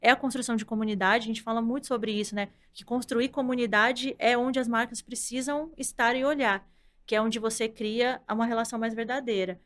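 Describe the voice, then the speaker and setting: A thoughtful Brazilian woman in her thirties talks through her ideas as a guest, steadily and in a conversational way. Her voice sits a little high, and she often checks in with "né?". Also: steady tone, fairly quick, fairly bright tone. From Brazil, from the countryside. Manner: thoughtful, explanatory, frequent "né?". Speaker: content director, guest. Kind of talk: casual interview conversation.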